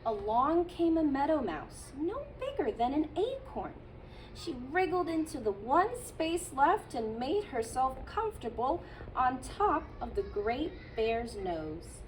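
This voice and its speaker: A childcare teacher, a woman, reading a picture book aloud to children in a gentle storytelling manner with playful asides. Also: high voice, big pitch swings, measured pace, far from the mic, a little hiss or hum, poor audio.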